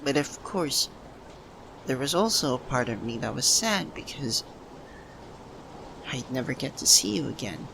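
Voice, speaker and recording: A man playing a lovesick young man confessing to a childhood best friend in a halting ASMR roleplay monologue. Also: medium pitch, very animated, creaky, background noise. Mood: sad, regretful. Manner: halting, breaks phrases with long pauses.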